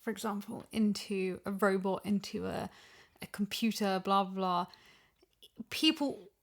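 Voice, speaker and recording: A woman's voice: mid-range voice, steady tone, measured pace. Woman chatting casually, explaining her views. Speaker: podcast guest, audio drama voice actor. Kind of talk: casual chat, discussion.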